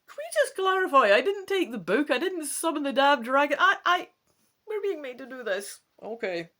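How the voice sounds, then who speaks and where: Female gamebook presenter, highly animated intonation, theatrical and wry, equally at home in dramatic reading and chatty asides. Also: moderate pace. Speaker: gamebook let's play presenter.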